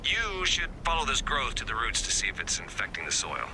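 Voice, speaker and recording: A man's voice: mid-range voice, huge pitch swings, fairly quick, sharp tone, heavily acted, rough recording. A man voicing a wry male field agent who leads the mission in a casual, wisecracking manner, in video game voice acting. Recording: game audio with background noise.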